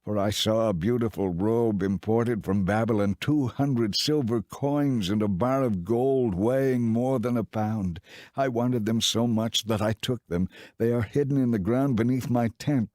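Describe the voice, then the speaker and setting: A man's voice: on the low side, measured pace, darkish tone, no room sound, clean studio sound, close to an everyday voice. A man playing a guilty, penitent Israelite confessing in a dramatized scripture audiobook.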